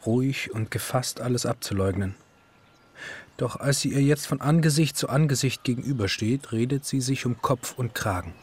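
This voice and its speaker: Ein Schauspieler als ernster Erzähler eines psychologischen Hörspiels, der literarisch und gemessen spricht. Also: male voice, mid-range voice, breathy edge, close to an everyday voice. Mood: grave, measured. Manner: measured, literary, serious. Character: grave male narrator of a psychological radio drama. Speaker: narrator. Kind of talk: literary radio drama narration. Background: music.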